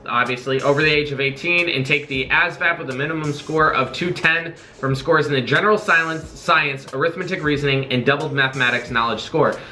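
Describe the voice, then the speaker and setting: Bright, forceful young American man. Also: on the high side. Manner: informal, jokey asides. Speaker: video creator, Navy corpsman. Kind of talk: casual informational vlog, partly reading aloud.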